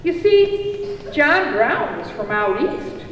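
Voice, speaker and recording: A woman playing a kindly motherly cafe keeper in a live puppet show, telling tales in a deliberate, expressive way. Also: sits high, lo-fi sound, noisy background, heavily acted. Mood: earnest recounting. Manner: deliberate, expressive storytelling to children. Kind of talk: live storytelling puppet theatre. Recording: echoey hall from a distance, music behind.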